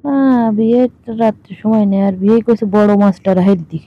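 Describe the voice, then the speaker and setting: A woman's voice: medium pitch, moderate pace. A woman in a casual, teasing, colloquial phone chat. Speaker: caller in a staged phone conversation. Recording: muffled lo-fi phone call recording.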